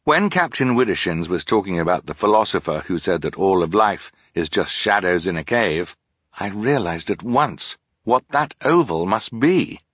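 A man's voice: sits low, animated, normal speed, fairly bright tone, breathy edge, no echo, studio quality, full character voice. A man voicing an earnest bookish teenage boy.

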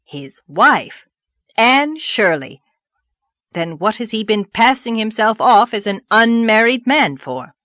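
A no-nonsense older woman from a storybook , voiced by a woman reader. She is blunt, dry and impatient, firing exasperated questions with a lot of pitch movement, closely recorded. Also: very animated, measured pace, no echo, lo-fi sound. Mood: shocked. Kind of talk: audiobook character voice.

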